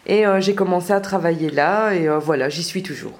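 A woman's voice: fairly low pitch, a little fast, darkish tone. A woman, a community association worker, speaking reflectively with many hesitations. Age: 40s. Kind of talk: reflective interview talk.

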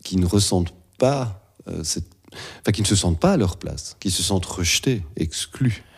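A man's voice: deep voice, expressive, normal speed, creaky, slightly rough. A man interviewing a young guest, asking probing questions and stepping in to challenge his answers.

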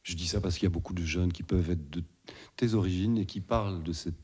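A man's voice: deep voice, fast talker, darkish tone, husky. A male interviewer asking probing questions and rephrasing them to draw out answers.

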